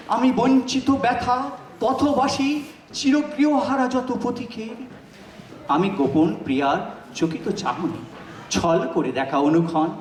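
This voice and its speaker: A man reciting poetry dramatically, in an impassioned, emphatic, declamatory manner. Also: high-pitched. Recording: roomy echo, distant microphone.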